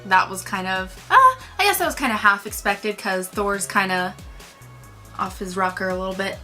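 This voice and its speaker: Chatty woman doing a casual movie review, bright sounding, some music in the background. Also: mid-range voice, animated, normal speed. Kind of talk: casual film discussion.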